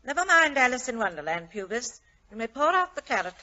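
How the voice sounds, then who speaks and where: An actress playing an imperious, suspicious wealthy wife with a curt, dryly mocking manner, in 1940s radio style. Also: female voice, a little high, big pitch swings, brisk, bright, forceful tone. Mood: curt, wary. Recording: dull old-time radio recording.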